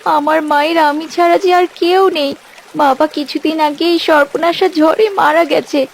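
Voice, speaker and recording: A woman's voice: sits high, fairly even intonation, unhurried, a little hiss or hum. A woman voicing an honest, poor young village girl in a cartoon fairy tale, polite and earnest. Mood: sad, grateful. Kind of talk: animated fairy-tale character acting.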